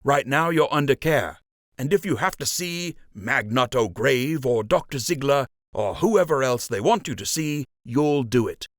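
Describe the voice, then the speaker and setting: A blunt, steadying buck rabbit officer from a storybook, read by a man. He is firm and plain-spoken, with expressive swings in a dry, close sound. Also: medium pitch, a little slow, dry recording, voice pushed up, lightly acted. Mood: commanding. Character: firm, matter-of-fact male rabbit officer.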